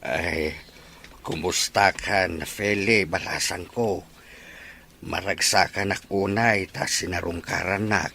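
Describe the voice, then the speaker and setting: A man playing an ailing, affectionate elderly father with a gravelly voice in an audio drama. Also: sits low, fairly even intonation, takes it slowly, sharp tone, slight background noise, full character voice. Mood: pleased. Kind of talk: Ilocano audio drama acting.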